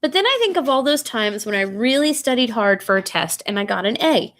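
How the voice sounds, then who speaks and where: Woman, slightly high voice, bedtime storyteller, expressive read-aloud, engages child listeners with questions. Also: brisk.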